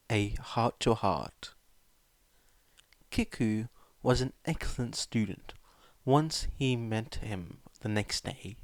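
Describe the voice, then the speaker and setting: Guy narrating a story audiobook style, takes it slow, really dry room. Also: mid-range voice, no echo.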